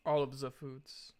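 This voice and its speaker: A woman's voice: very deep voice, dark tone. A woman running a tabletop game, narrating casually with slangy, joking asides. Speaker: game master.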